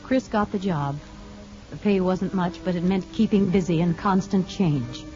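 Woman acting as calm practical lady narrator in a 50s radio play, lowish voice, dry delivery, muffled audio. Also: a little slow, lightly acted. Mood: measured, grave. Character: composed, matter-of-fact woman counselor narrating the story. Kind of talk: 1950s radio drama narration. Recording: dull old radio recording with music.

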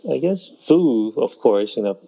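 Taiwan-born guy being interviewed, gravelly dark voice, kinda dull lo-fi sound, stops and restarts a lot. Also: medium pitch, lively intonation. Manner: halting, with many restarts and pauses. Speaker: festival organizer. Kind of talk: conversational interview answers.

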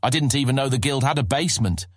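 A man's voice: medium pitch, quick pace, slightly rough, dry recording, studio quality, voice pushed up, close to an everyday voice. A man playing a polite, puzzled young newcomer in a fantasy audiobook, hesitant and apologetic in manner. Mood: unsure. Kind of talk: fantasy audiobook character acting.

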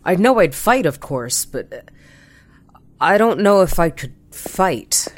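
A woman's voice: lowish voice, very animated, slow pace, a little hiss or hum. A woman acting an anxious, overwhelmed woman in a sci-fi audio drama, hesitant and stammering over repeated words.

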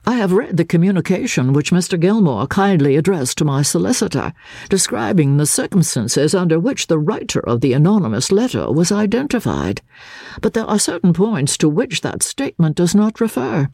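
A woman voicing a courteous, insistent gentleman baronet in formal, persuasive speech for a dramatic audiobook. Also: on the low side, big pitch swings, normal speed, breathy edge, no echo, clean studio sound, pitched above the performer's own voice, exaggerated voice. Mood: probing, polite. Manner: formal, elaborately polite, persuasive.

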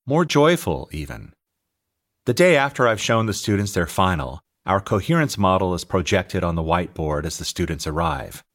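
A middle-aged American man reading his own audiobook as an author and teacher, telling anecdotes in an expressive, scripted style. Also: low voice. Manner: expressive, anecdotal storytelling, acting out dialogue. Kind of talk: scripted narration, audiobook memoir.

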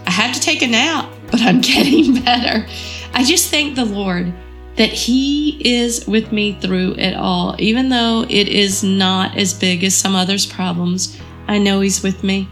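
A woman's voice: mid-range voice, unhurried, fairly bright tone, faint music behind. A woman hosting a devotional podcast, earnest and encouraging, her voice a bit froggy from a cold. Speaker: podcast host.

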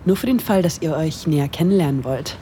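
A woman's voice: deep voice, lively intonation, fairly quick, warm tone, slight background noise. A woman playing a cheeky young best friend in an audio drama, casual, playful and teasing in her dialogue.

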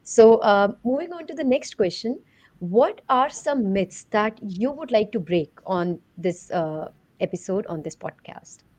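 A woman's voice: mid-range voice, lively intonation, a little slow, darkish tone. A woman, hosting a podcast in a conversational interviewing style. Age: 30s. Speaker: podcast host.

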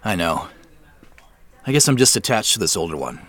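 An actor playing an anxious, stubborn amateur investigator in a naturalistic audio drama, speaking in an earnest, hesitant, conversational way. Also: male voice, sits low, very animated, moderate pace, fairly bright tone, no echo, heavily acted. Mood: polite, hesitant.

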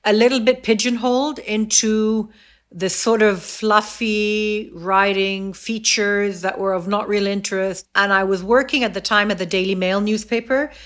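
A woman's voice: mid-range voice, steady tone, a little slow, fairly bright tone. Thoughtful middle-aged woman guest. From Egypt, raised in the US, Saudi Arabia and England. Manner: thoughtful, many fillers and restarts. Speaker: podcast guest. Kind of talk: reflective interview conversation.

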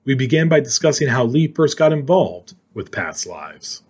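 A man's voice: medium pitch, sing-song, normal speed, breathy edge. A man hosting a podcast, reading a scripted introduction to his guest. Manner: read-aloud delivery. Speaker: podcast host.

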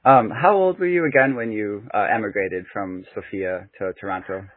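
A man hosts a podcast interview in an easygoing, casual way, filling the gaps with "um" and "you know." His voice sits fairly low and sounds husky. The recording is dry and tinny, like it came through a phone line. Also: moderate pace, no echo. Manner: laid-back, friendly, fillers and "you know". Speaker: podcast host.

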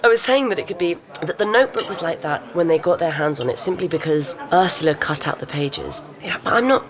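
A woman's voice: fairly low pitch, lively intonation, natural voice. An actress playing an analytical, self-assured woman expert in informal, naturalistic audio drama dialogue. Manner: informal, analytical, halting as she reasons.

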